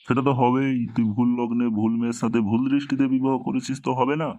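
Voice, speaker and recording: A man's voice: medium pitch, flat delivery, quick pace, mellow, natural voice. A man voicing a domineering, scolding village tantric holy man in a Bengali comedy cartoon, with a preachy manner. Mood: stern, knowing. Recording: dull lo-fi, very dry cartoon dub.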